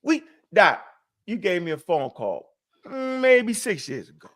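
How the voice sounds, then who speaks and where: A man co-hosting a live online talk, speaking in an animated way full of streetwise slang and quick rhetorical asides. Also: sits high. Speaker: co-host. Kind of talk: casual live-stream chat.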